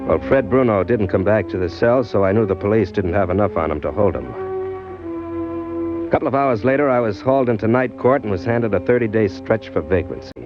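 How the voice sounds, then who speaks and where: A man's voice: on the low side, animated, fairly quick, lightly acted. A man playing a cocky, sly small-time crook in an old radio crime drama, talking and narrating in tough, wisecracking slang. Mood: wry narrating. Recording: dull vintage radio recording, music behind.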